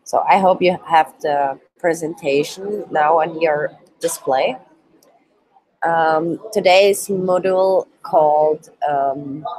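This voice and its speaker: A woman in her twenties presents a live online youth work course in careful, halting English, with many ums and pauses. Her voice sits low and unhurried. She is sick at the moment, and English is not her first language. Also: darkish tone, low-quality recording. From Switzerland, Turkish roots. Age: 20s. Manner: hesitant non-native English, many ums.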